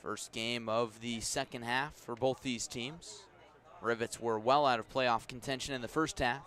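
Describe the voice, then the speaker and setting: A man's voice: mid-range voice, steady tone, measured pace, fairly bright tone. Man calling baseball play-by-play on radio. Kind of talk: live radio baseball commentary.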